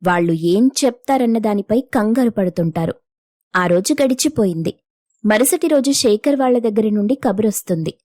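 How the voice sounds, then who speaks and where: A woman's voice: medium pitch, animated, moderate pace, warm tone, no room sound, clean studio sound. A woman narrating a scripted moral story as the storyteller.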